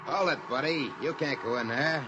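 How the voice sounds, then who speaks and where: An actor playing a blunt, streetwise security guard in a 1950s radio drama, informal and matter-of-fact. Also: male voice, medium pitch, normal speed, music in the background, lightly acted. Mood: matter-of-fact, firm. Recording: muffled, noisy 1950s radio recording.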